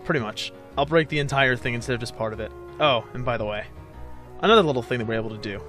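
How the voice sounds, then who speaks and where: A man's voice: medium pitch, fast, a bit bright. A young man giving casual, explanatory commentary as he plays a video game. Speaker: gamer hosting a let's play. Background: game music.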